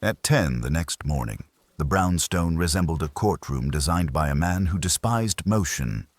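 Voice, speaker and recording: A man with a husky voice reading a scripted first-person detective story. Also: extremely low pitch, lively intonation, moderate pace, no room sound. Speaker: audiobook narrator.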